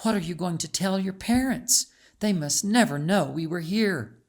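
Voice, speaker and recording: A man's voice: sits high, normal speed, pitched above the performer's own voice. A man voicing a confident, bossy young girl, firm and instructing, in storybook dialogue. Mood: urgent, instructing.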